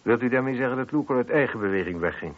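An actor playing a probing, wry police inspector who muses and questions with dry irony in a radio play. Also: male voice, mid-range voice, animated, fast talker, natural voice. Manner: musing, questioning, dryly ironic. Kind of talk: Dutch radio drama dialogue. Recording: muffled lo-fi old radio recording.

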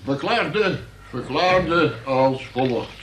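An actor playing a dutiful police sergeant who formally and haltingly reads out a report in a radio play. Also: male voice, mid-range voice, expressive, a bit bright, slight background noise. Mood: reciting. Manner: formal, officious, halting delivery of official report. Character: dutiful police sergeant reading out a suspect's confession. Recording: roomy, echoing radio play recording.

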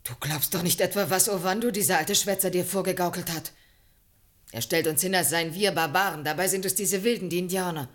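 A slightly low female voice from an actress, playing an assertive woman in a radio drama. She sounds insistent and dismissive, with a scornful temper. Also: brisk, lightly acted. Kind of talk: German radio play acting, 1980.

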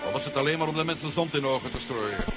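A man's voice: mid-range voice, racing, lightly acted. A man acting a suspicious, cynical character in a 1970s radio drama. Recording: dull 1970s radio recording with music.

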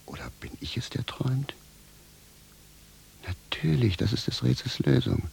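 An actor in a radio play playing a gruff, stubborn shoemaker, blunt and grumbling in his way of talking. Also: mid-range voice, very animated, a little slow, a little mellow, whispered, heavily acted. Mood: bewildered, sardonic. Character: gruff, stubborn, exasperated naked shoemaker raised from the dead. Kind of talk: German radio play acting.

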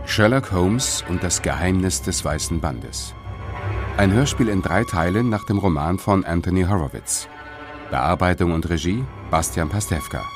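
A man's voice: deep voice, lively intonation, normal speed, music in the background. A man working as an announcer, reading scripted titles and credits for a radio drama.

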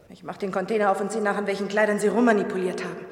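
A spirited young woman, played by an actress, speaks briskly at a medium pitch, polite yet ready to bristle. It is radio crime acting, heard in a reverberant, echoing room. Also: fairly even intonation, a little mellow, natural voice. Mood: indignant. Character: spirited, indignant young woman, haulier's fiancée.

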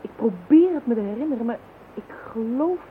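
Een vrouw die in een hoorspel de beheerste, beleefde echtgenote van een hoofdinspecteur speelt. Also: female voice, on the high side, animated, brisk, lightly acted. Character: composed woman, the chief inspector's wife. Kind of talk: radio play acting. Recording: lo-fi phone-band radio recording with faint hiss.

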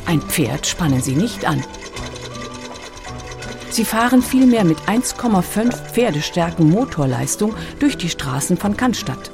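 Female narrator, scripted documentary read, low register with noticeable fry, over clear music. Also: big pitch swings, a little slow.

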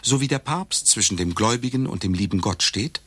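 A solemn satirical radio-play guide who hands out absurd advice. His low voice is rough-edged and very close and dry. He instructs with great earnest emphasis. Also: animated, fairly quick, a bit bright, no room sound. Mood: solemn praise. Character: earnestly absurd male advice narrator on visiting doctors.

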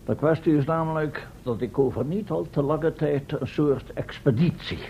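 An actor playing an authoritative, impatient professor in a radio drama, speaking in a commanding, curt manner. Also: male voice, moderate pace, natural voice. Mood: businesslike. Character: authoritative, impatient male professor leading time-travel experiments. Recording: dull, rumbly, noisy old radio recording.

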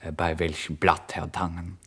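A man playing a low-voiced, officious policeman. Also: little pitch movement, normal speed, fairly bright tone, breathy edge, exaggerated voice. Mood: indulgent. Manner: clipped, official, emphatic. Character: brisk, officious male police officer on night duty.

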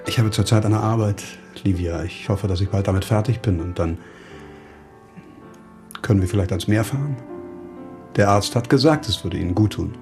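An actor playing a dogged, laconic investigator in a German radio crime drama, speaking in a terse, understated way. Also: male voice, lowish voice, moderate pace, long pauses, music in the background, natural voice. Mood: gently restrained.

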